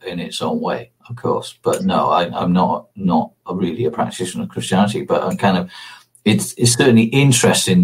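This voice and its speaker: A man with a lowish, rough-edged husky voice speaks as a guest in an informal discussion. He explains history in a hesitant, winding way, often reworking his phrases midway. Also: darkish tone. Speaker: interview guest on magic and ancient religion.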